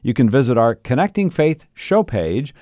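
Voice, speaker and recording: A man's voice: mid-range voice, sing-song, normal speed, dark tone, dry recording, studio quality. A man reading a scripted introduction and sign-off as the host of a radio show. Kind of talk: scripted programme intro and outro.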